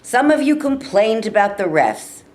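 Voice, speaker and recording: A woman's voice: a little high, big pitch swings, moderate pace. A woman playing a demanding male football coach giving an emphatic, exhorting pep talk in a staged reading. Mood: stern, urgent. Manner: emphatic, exhorting, building to shouts.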